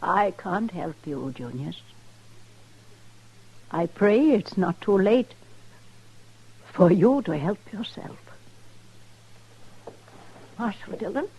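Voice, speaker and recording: A woman's voice: medium pitch, lively intonation, takes it slowly, pauses often, a little mellow, slightly rough, exaggerated voice, rough recording. An older actress playing a proud, stern grandmother in a radio western, formal and dignified in manner. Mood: weary, sorrowful. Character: proud, stern old grandmother of a distinguished family. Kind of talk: 1950s radio western acting.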